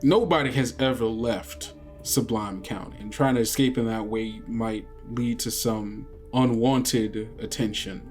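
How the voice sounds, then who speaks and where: A man's voice: medium pitch, a little slow, faint music behind, lightly acted. A man playing a weary, guarded, folksy small-town sheriff in a tabletop roleplay game. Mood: grim warning. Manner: folksy, colloquial.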